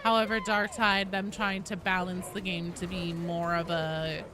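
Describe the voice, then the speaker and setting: Woman game streamer over clear background noise. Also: fairly low pitch, level tone, unhurried. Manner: casual, profane, discursive. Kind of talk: casual livestream gaming chat.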